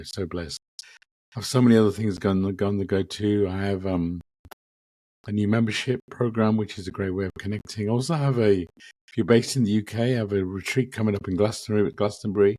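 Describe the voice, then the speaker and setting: Man, low voice, slightly hoarse, reflective, lots of ums. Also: steady tone, fairly quick, no echo. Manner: gentle, reflective, with frequent ums and repeats. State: slightly croaky from a lingering cough. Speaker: podcast host. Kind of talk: casual spiritual podcast talk.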